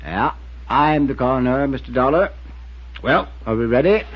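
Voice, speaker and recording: A man's voice: mid-range voice, expressive, normal speed, lightly acted. Man acting a folksy small-town constable, muffled old radio. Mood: folksy, matter-of-fact. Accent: rural American. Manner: drawling, folksy, hesitant and matter-of-fact. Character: folksy, stubborn, laconic small-town male constable and coroner. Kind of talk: 1950s radio drama acting. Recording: muffled 1950s radio with faint noise.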